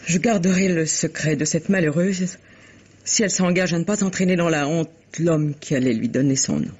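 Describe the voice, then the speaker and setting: A dignified, guilt-stricken lady in an old French radio mystery, on a muffled, lo-fi recording. The voice is highish and husky. The delivery is formal, restrained and tragic, with a resigned air. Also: moderate pace, darkish tone.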